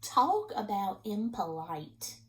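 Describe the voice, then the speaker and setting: A woman voicing a folksy male wolf who excuses himself while narrating a storybook tale, in an expressive, dramatic manner. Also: mid-range voice, slow pace, noisy background, pitched below the performer's own voice. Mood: self-justifying.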